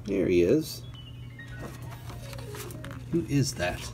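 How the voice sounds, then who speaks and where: A man reads a children's picture book aloud, clearly and deliberately, adding chatty asides and playful questions for young listeners. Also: low voice, very animated, over music.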